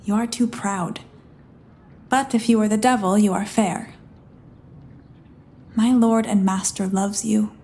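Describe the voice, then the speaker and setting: A woman's voice: medium pitch, fairly even intonation, measured pace, pauses often, mellow, close to an everyday voice. A woman playing an ardent, witty young woman disguised as a page in a Shakespearean audio drama, with earnest, courtly declamation. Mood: earnest, passionate.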